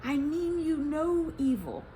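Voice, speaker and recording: A woman's voice: sits high, expressive, takes it slowly, mellow, slight background noise, pitched above the performer's own voice. A woman voicing a frightened old male shaman in a storybook, with a trembling, pleading voice. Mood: fearful pleading.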